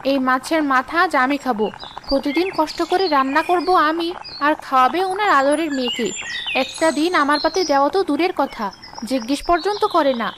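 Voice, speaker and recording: Lady doing a very high pitched snippy young daughter in law, cartoon drama, always complaining. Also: a little fast, noisy background, natural voice. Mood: resentful. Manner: complaining, defiant. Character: resentful, sharp-tongued young daughter-in-law in a family household. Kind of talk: animated moral story voice acting.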